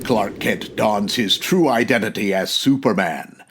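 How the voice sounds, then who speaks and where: A man's voice: lowish voice, unhurried, fairly bright tone, a little hiss or hum. A man playing a grave announcer, narrating dramatically in the manner of a 1940s radio serial. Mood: grave, suspenseful.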